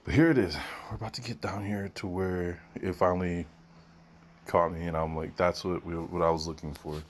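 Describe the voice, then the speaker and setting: A man's voice: deep voice, fairly even intonation, moderate pace, a little hiss or hum. A man hosting, commenting casually on a text being read aloud, musing and conversational. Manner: musing, conversational, with fillers and asides.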